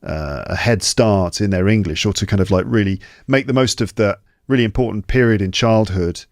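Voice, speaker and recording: A man hosts a podcast in a joking, rambling way, full of "uh"s and asides. His voice sits somewhat low, and the recording is dry with no room sound. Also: brisk, no room sound. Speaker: podcast host. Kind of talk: casual interview chat.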